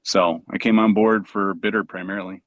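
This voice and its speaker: Low-voiced man, close dry sound. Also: fairly even intonation, moderate pace, dry recording. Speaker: voice actor. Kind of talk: casual chat.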